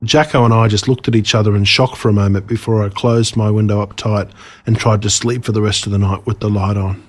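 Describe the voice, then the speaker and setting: An Australian man reads a children's story as a narrator, expressively and with dramatic pauses on key words. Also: lowish voice, steady tone. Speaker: author reading own story.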